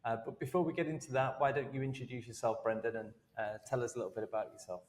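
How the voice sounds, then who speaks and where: A man hosting a podcast interview, friendly and halting, with many ums and uhs. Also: mid-range voice, fairly even intonation, a little mellow, big-room sound. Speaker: podcast host.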